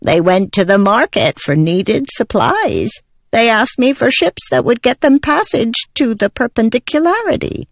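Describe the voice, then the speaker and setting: A timid, fawning little male plant spirit put on by a female audiobook narrator. The voice is creaky and unhurried, with big excitable swings in pitch, in a dry recording. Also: dry recording, heavily acted. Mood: flustered. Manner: fretful, fawning.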